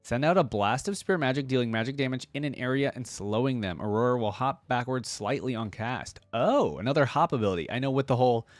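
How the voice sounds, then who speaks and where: A man's voice: mid-range voice, lively intonation, fast talker, hardly any pauses, dry recording. A man giving casual reaction commentary, chatty and curious, thinking aloud as he goes. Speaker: reaction video host.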